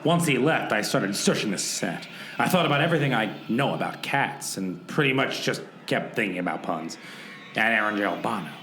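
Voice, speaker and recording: A man playing an earnest amateur sleuth who narrates a comic radio play with deadpan drama. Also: fairly low pitch, a little fast, a little hiss or hum. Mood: dramatic, suspenseful. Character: earnest noir-style male amateur sleuth narrating his rescue mission. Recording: roomy echo with music underneath.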